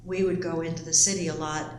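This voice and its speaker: A woman's voice: deep voice, level tone, fast talker. An older woman raised in the Panama Canal Zone, reflectively recalling her childhood in an oral history interview. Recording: echoey room with background noise.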